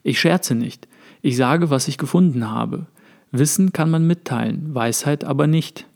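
A man reading aloud as a wise, calm sage explaining his thoughts to a friend, in a measured, earnest way. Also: on the high side, creaky. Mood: contemplative. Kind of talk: read-aloud literary dialogue.

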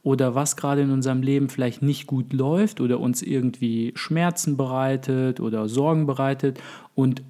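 Slightly hoarse male podcast host, mellow tone, thoughtful and rambling. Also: medium pitch, steady tone, moderate pace. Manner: thoughtful, explains at length with many fillers. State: under the weather, voice a bit hoarse. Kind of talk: casual podcast chat.

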